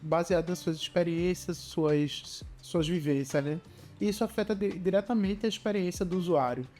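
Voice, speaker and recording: A podcast host asking questions in a measured way, often adding tag questions. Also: medium pitch, darkish tone, soft background music. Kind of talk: casual interview conversation.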